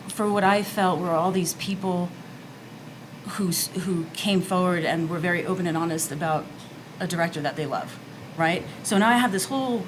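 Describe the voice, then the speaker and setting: Woman from New Jersey, lowish voice, candid emphatic panel talk, noticeable background noise. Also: low-quality recording. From New Jersey, United States. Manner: earnest, emphatic, conversational. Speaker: documentary filmmaker on a panel. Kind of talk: candid personal roundtable talk.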